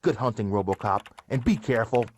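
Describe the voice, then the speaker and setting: An actor playing a male police chief who gives brisk, commanding mission briefings. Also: lowish voice, a little hiss or hum, close to an everyday voice.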